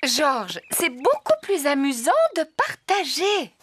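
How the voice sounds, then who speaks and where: A woman voicing a kind, patient mother pig in a preschool cartoon dub, speaking clearly and coaxingly. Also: high voice, animated, slow pace, a bit bright, a little hiss or hum, full character voice. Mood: gentle, patient.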